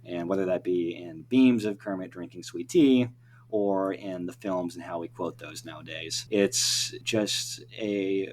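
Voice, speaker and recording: Low-pitched man in casual conversation. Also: a little slow. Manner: rambling, conversational. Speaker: interview guest, writer. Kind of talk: casual podcast interview. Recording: faint hum behind the voice.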